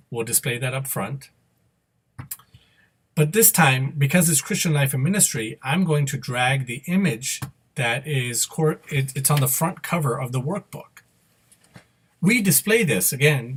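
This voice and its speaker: A man with a slightly husky voice at a middle pitch talks through a screen demo. He explains each step as an instructor would, unscripted, and often checks that the listener is following. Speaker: instructor giving a software demo.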